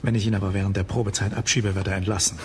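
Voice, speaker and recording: An actor playing a weary, matter-of-fact head lighthouse keeper in a German radio crime drama. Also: male voice, sits low, flat delivery, racing, slight background noise, natural voice. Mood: weary, irritated.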